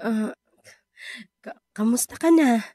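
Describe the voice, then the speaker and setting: A woman acting an anguished, guilt-ridden mother in a Filipino radio drama, with a Filipino accent and halting, repetitive delivery. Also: fairly high pitch, dark tone, husky, no echo, clean studio sound. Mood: hesitant, remorseful. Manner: halting, repeating words, tearful.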